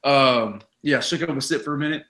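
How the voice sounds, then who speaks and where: A young man with a raspy voice hosts a laid-back sports podcast. He talks with energy and chats freely, with plenty of fillers. His pitch sits in the middle for a man. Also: a little fast. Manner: energetic, chatty storytelling with many fillers. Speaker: podcast host. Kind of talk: casual sports podcast chat.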